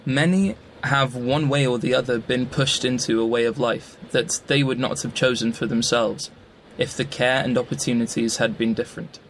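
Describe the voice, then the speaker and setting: A man's voice: mid-range voice, steady tone, normal speed, low-quality recording. A man from Leone, reading a prepared film response formally. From Sierra Leone. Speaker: student guest.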